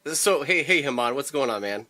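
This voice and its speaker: A man's voice: medium pitch, animated, moderate pace, bright, forceful tone. A man co-hosting a podcast, chatting casually in an easygoing, agreeable way. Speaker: podcast co-host. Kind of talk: casual conversational chat.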